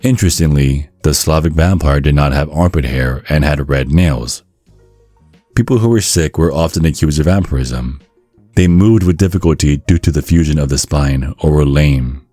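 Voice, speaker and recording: Very deep-voiced man narrating ASMR. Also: moderate pace, mellow, no room sound. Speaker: ASMR storyteller. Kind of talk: scripted ASMR narration of a dark-comedy guide. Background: faint music.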